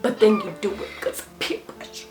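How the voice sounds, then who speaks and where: A woman's voice: normal speed, roomy sound, background noise. A young woman vlogger giving casual, sassy advice about school, her voice tired and a little cracked. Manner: chatty, sassy, upbeat, lots of "like". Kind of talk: casual talk to camera.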